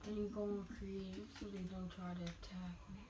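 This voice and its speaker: A woman giving casual, halting gameplay commentary, her voice a little scratchy from getting over being sick. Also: mid-range voice, flat delivery. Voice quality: slightly scratchy. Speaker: gamer narrating gameplay. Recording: distant roomy mic, music behind.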